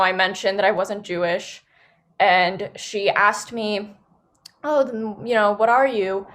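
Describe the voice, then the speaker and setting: A young woman with a medium-pitched voice speaks as a guest on a podcast panel. She recounts personal experience in casual, youthful phrasing, often dropping in "like" and "you know". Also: a bit bright. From Singapore and Los Angeles. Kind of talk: personal storytelling in a podcast discussion.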